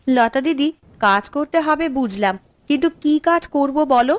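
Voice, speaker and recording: A young cartoon village sister with a high voice. She sounds eager and deferential, and the sound is very dry. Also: animated, moderate pace, no echo. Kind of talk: Bengali cartoon story acting.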